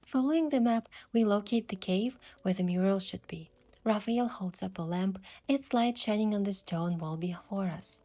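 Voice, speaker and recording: A woman playing a young romantic heroine, narrating her adventure in a soft, measured, wistful way for a game story. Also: medium pitch, close to an everyday voice. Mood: earnest, wistful. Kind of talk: scripted first-person story narration.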